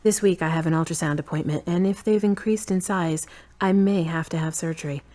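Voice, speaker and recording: Woman acting a frank, lower-voiced uneasy girlfriend. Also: steady tone, fairly quick, warm tone, natural voice. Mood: matter-of-fact, uneasy. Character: Charity, tired frank woman, fond but uneasy girlfriend. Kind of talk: audio drama dialogue.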